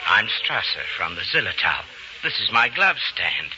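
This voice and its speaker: A man's voice: sits low, big pitch swings, moderate pace, sharp tone, heavily acted. An actor playing a modest, cautious father and market trader, polite and plain-spoken, in an old radio drama. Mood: modest, proud. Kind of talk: 1940s radio drama acting. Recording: dull old radio recording, faint noise.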